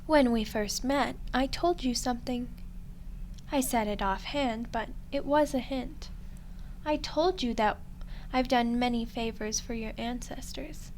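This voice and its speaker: Woman playing a slow-speaking, smug ancient demoness. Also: fairly high pitch, slight background noise, low-quality recording. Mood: musing, revealing. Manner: slow, condescending, deliberate, with dramatic pauses. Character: ancient female demon, smug, condescending, coolly menacing deal-maker. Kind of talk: roleplay ASMR audio drama.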